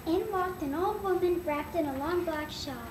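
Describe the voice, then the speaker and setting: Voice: very high voice, normal speed. A child of about eight or nine reading a story aloud as a narrator in a school readers' theater. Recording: echoey noisy room.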